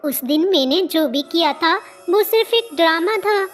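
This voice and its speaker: Woman voicing a very high-pitched little cartoon bird. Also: animated, normal speed. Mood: confessing. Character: small female bird, earnest and pleading. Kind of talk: cartoon story acting.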